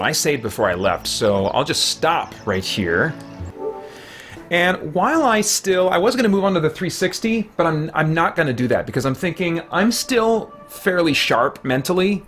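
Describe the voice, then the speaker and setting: A man in his thirties or forties chatting casually on a game livestream, thinking aloud in a halting way. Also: mid-range voice, fairly bright tone. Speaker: video game live streamer. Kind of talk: casual livestream chat while gaming. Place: small indoor room with shelves.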